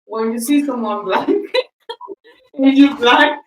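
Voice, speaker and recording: A woman whose first language is Spanish, co-hosting a podcast and telling stories in a casual, lively way with frequent laughs. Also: a little high, takes it slowly, distant mic, a little hiss or hum. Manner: lively storyteller, laughs often, says "like" a lot. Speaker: podcast co-host. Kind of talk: casual chat, storytelling. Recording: echoey room, off-mic.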